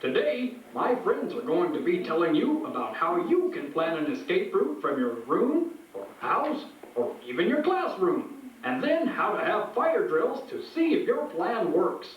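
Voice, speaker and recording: A man's voice: high-pitched, big pitch swings, unhurried, far from the mic, slight background noise. A man voicing a folksy puppet who addresses children in an educational fire safety show. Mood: friendly, instructive. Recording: echoey, dull playback from afar over music.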